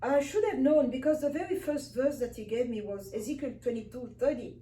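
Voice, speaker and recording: A woman minister preaches in with a fairly high, mellow voice. She speaks earnestly and emphatically, repeating phrases for emphasis. She is recorded from a distance in an echoey room. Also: brisk. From Africa. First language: Swahili. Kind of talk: personal testimony sermon. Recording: echoey room, distant mic, faint hiss.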